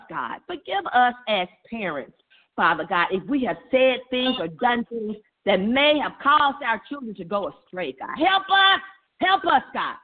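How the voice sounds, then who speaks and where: A woman leading fervent charismatic prayer in an impassioned, repetitive, chant-like way over a tinny phone line. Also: fairly high pitch. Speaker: prayer leader. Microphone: telephone.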